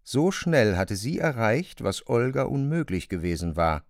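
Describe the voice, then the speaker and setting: A man narrating a literary radio play in a measured, articulate way. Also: mid-range voice, sing-song, dry recording, clean studio sound.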